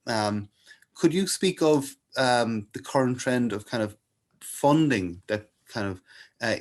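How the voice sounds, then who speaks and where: A man chairing a panel discussion, asking a question hesitantly over a video call. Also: fairly low pitch. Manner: hesitant, searching for words.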